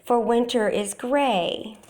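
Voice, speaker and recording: Woman as a dreamy, deliberate poet mouse, slightly high. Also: darkish tone. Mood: calm, simple. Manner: simple, deliberate, poetic. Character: calm, dreamy male field mouse poet. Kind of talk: children's storybook read-aloud.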